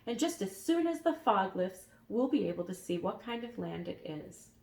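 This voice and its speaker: A woman voicing a plucky, earnest small boy in a bright, eager, raised voice. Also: mid-range voice, sing-song, normal speed, gravelly, echoey room.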